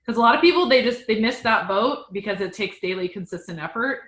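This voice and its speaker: A woman's voice: on the high side, animated, fast talker, fairly bright tone, echoey room. A woman business coach hosting a casual interview, talking in an encouraging, conversational way.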